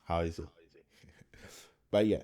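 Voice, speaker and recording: A man's voice: low voice, drawn-out words, mellow. A man giving a casual, explanatory product demo, often repeating points and checking in with the viewer. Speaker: tech product reviewer.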